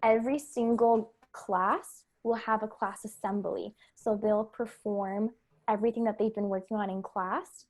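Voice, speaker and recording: A young woman with a Canadian accent recounts her teaching stories in a casual podcast conversation. Her voice is of middle pitch, and she tells her anecdotes in an animated way. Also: measured pace. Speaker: elementary teacher, guest.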